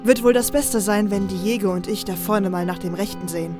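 A woman's voice: mid-range voice, a little fast, close to an everyday voice. A woman playing a concerned, level-headed camp dweller, speaking earnestly in a fantasy audio drama. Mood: worried, practical. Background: music.

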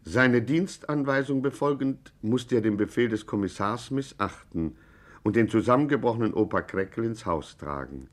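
Man as deadpan narrator, mid-range voice, composed, old radio crime satire. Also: medium pitch, moderate pace, lightly acted. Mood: composed, ironic. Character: deadpan story narrator, mock-official and composed. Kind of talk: scripted radio play narration.

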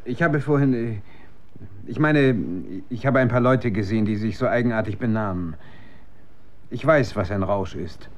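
An actor in a German radio drama playing a troubled former drug user who doubts his reality, speaking in a brooding, halting way. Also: mid-range voice, lightly acted. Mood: evasive, nervous. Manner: brooding, halting, searching for words.

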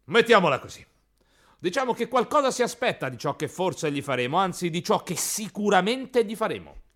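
An actor playing a crude, gleeful, bullying interrogator in a theatrical radio drama, moving between hushed menace and loud outbursts. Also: male voice, sits high, very animated, fairly quick, heavily acted. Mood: gloating menace.

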